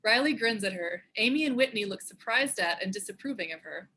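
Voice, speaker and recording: A woman narrates scripted action for a table read. Her medium-pitched voice is bright and forceful, with a husky edge. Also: animated, a little fast, poor audio. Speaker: narrator and show co-creator. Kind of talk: scripted stage-direction narration.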